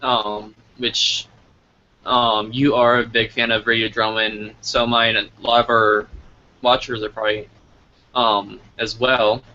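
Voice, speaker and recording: Bright-voiced man on noisy lo-fi audio. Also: on the low side, little pitch movement, a little slow. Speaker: interviewer, host. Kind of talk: casual live Q&A interviewing. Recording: lo-fi online call with background noise.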